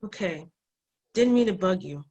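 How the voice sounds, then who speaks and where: Casting: woman as eager, worried young girl for screen drama, lively swooping pitch, slightly raspy, insistent. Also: moderate pace, lightly acted. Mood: sulky. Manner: blurting, insistent questions. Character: anxious, pushy young girl, eager and easily frightened. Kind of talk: screenplay table read.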